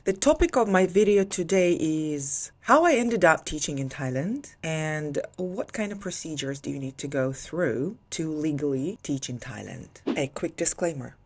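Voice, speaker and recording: Woman vlogger, thirties, non-native English speaker from Ukraine; low-pitched, chatty and animated. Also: breathy edge. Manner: chatty and lively, with sudden rises in pitch for emphasis. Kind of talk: personal vlog storytelling.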